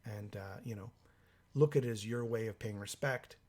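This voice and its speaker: A hoarse man with a cold hosts a live stream, reading out viewer comments in a halting way with many fillers. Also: lowish voice, a little mellow. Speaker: livestream host.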